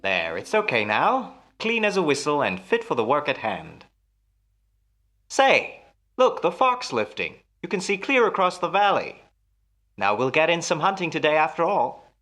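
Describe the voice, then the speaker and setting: A man's voice: a little high, wildly swooping pitch, moderate pace, bright, forceful tone, full character voice. An actor in a radio play as a jealous husband, musing theatrically and teasing his wife with menace. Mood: breezy.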